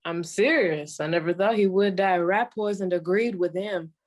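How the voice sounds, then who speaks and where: A woman playing a sassy old comedienne griping about her ancient husband, in the deadpan, drawn-out style of a 1940s radio stand-up monologue. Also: lowish voice, lightly acted. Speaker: comedienne performer.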